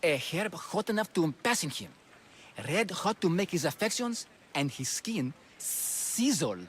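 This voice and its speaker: An actor playing a gushing, lovesick ancient Greek athlete in a video game, fretful and theatrical. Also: male voice, high voice, expressive, measured pace, a little hiss or hum. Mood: grateful, eager. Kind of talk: video game cutscene acting.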